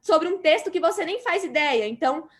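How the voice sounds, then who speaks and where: Brazilian woman, grad student panelist, high voice, earnest and a bit halting. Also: expressive. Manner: earnest, explanatory, frequent hesitations. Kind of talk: panel talk answering a moderator.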